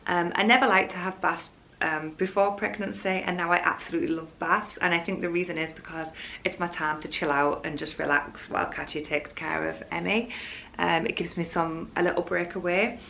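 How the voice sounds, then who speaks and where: Young woman, Yorkshire accent, slightly low voice, casual rambling vlog chat, faint background noise. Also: fairly even intonation, fairly quick, creaky, a bit bright. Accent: Yorkshire English. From Hull, Yorkshire, England. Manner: rambling, candid. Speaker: vlogger.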